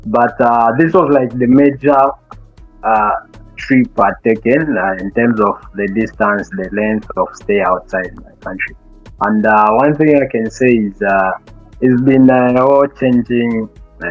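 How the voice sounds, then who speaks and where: A young man from Zambia, a guest in an interview, speaking thoughtfully with many pauses and restarts. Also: mid-range voice, no echo, dull audio. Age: young adult. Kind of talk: casual interview talk.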